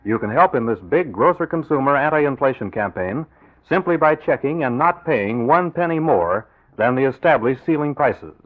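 A man's voice: medium pitch, animated, normal speed. A man with an American accent announcing and narrating in scripted 1940s radio style. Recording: tinny, phone-like old radio recording.

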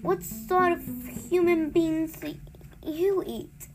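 A woman's voice: high-pitched, expressive, a little slow, vocal fry, over music, heavily acted. A woman voicing a polite, cautious young girl who asks careful questions in a children's storybook. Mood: timid.

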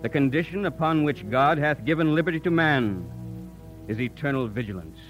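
A man's voice: a little high, animated, moderate pace, highs cut off, faint music behind, natural voice. An actor playing a stern, righteous young man, earnest and emphatic in a 1950s radio drama style. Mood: solemn oratory. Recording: vintage radio drama recording.

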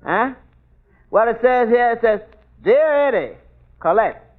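An actor playing a dry-humored tavern waiter in a 1940s radio sitcom, with folksy ungrammatical speech and stammered repeats. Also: male voice, very high voice. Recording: tinny old radio broadcast with hiss.

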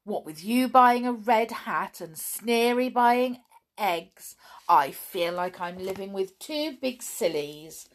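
A woman voicing a greedy, quarrelsome male goblin in a storybook, rude and quick to exclaim in indignation. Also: mid-range voice, expressive. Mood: scornful.

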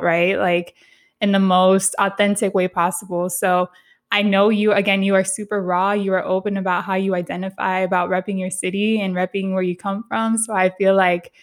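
A woman hosting a podcast, interviewing in a casual, conversational way with slangy, affirming phrasing. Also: medium pitch. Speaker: podcast host.

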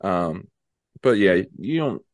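A young man talks in a slightly low voice clogged with a stuffy nose. He halts often, filling gaps with ums and restarting sentences. The sound is close and dry. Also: lively intonation, no room sound. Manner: halting, many ums and restarts. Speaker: podcast co-host. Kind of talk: casual chat.

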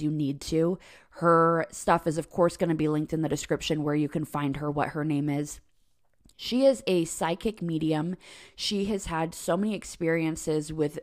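A woman's voice: sits low, darkish tone. A woman hosting a podcast from home, chatting in a casual, effusive way with many fillers and talking over a remote recording. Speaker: podcast host. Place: home in Idaho.

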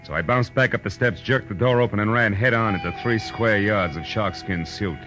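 An actor playing a tough, wry private detective in 1940s radio noir style, narrating and talking sardonically. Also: male voice, lowish voice, a little fast, close to an everyday voice. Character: wry, hard-boiled male private detective. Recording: old radio show, music underneath.

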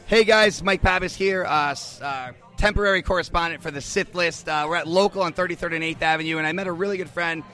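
A man's voice: fairly high pitch, fast talker, hardly any pauses, pushed and bright. A man working as a podcast correspondent, doing an enthusiastic on-location interview at a bar on a handheld recorder. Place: bar in New York.

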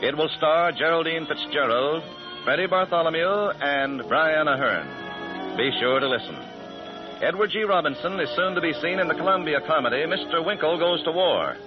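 A man's voice: on the high side, expressive, moderate pace. A man reading scripted announcements in a polished, formal 1940s radio style. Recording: muffled 1940s radio broadcast with music.

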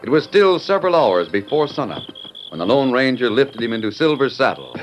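A man as a dramatic vintage radio storyteller narrating a western. His mid-pitched voice moves in lively swoops. Music plays clearly behind him. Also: a little fast, natural voice, rough recording. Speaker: announcer and narrator.

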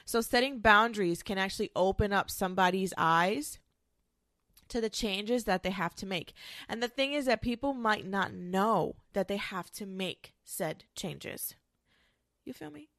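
A woman's voice: medium pitch, lively intonation, measured pace, a bit bright, no room sound, clean studio sound. A young woman with a congested, nasal voice from a cold, giving frank, chatty advice as a podcast host and swearing casually.

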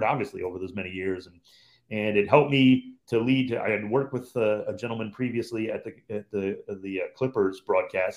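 A man in his fifties from Cleveland, a radio sports commentator, telling his career story as a podcast guest in an easygoing, reflective way. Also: fairly low pitch. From Cleveland, Ohio, USA. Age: 50s. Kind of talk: relaxed storytelling in conversation.

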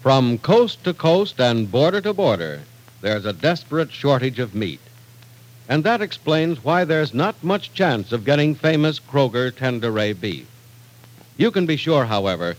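A man's voice: medium pitch, big pitch swings, unhurried, rough recording. A man announcing in scripted, theatrical, formal 1940s radio style as the host of a serial. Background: music.

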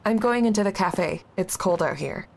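A woman playing a sensible, dry, exasperated young friend in an audio drama, cautioning and measured. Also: mid-range voice, lively intonation, vocal fry, a little mellow, a little hiss or hum. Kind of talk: naturalistic audio drama acting.

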